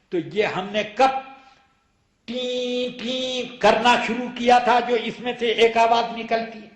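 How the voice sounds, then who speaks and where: An echoey recording carries a man's high-pitched voice as he preaches. He speaks slowly, in the manner of an Islamic scholar explaining a ruling. His delivery is emphatic and argumentative, built on rhetorical questions. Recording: echoey room sound.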